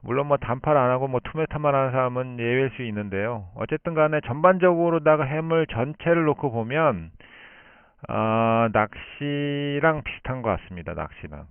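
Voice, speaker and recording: Guy on ham radio explaining stuff, thinks out loud, dry smooth voice, tinny shortwave sound. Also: mid-range voice, no room sound. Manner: explanatory, thinks aloud with pauses. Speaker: amateur radio operator. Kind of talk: unscripted radio contact, long explanatory turns. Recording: band-limited shortwave radio transmission.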